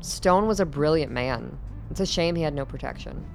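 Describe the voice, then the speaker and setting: A man's voice: on the high side, normal speed, vocal fry, soft background music. An actor playing an earnest, educated man, curious and serious, in radio play dialogue.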